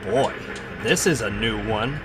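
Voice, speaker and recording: A man's voice: fairly low pitch, a little slow, over music, lightly acted. A man playing Peter Parker, a wry, bookish, youthful teenage schoolboy with sardonic delivery in a comic book dramatization. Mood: puzzled.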